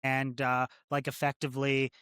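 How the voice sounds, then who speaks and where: A man's voice: medium pitch, monotone, normal speed, sharp tone, clear, resonant tone, no room sound, clean studio sound. A man in his thirties from Canada, a corrections officer guest talking casually and rambling, with many "like" and "right?" fillers. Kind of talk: casual interview conversation.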